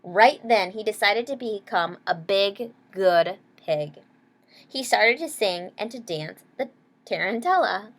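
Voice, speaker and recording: Woman storyteller, very lively intonation, children's read-aloud, explanatory asides, mid pitch. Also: takes it slowly. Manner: explains words and asks questions to young listeners. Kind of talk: expressive children's story narration.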